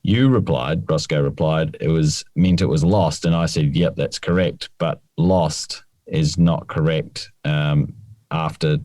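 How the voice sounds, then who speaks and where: Very deep, mellow man. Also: steady tone, a little slow, dry recording. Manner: precise, correcting, dry teasing. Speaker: podcast co-host, rules expert. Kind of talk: casual podcast chat.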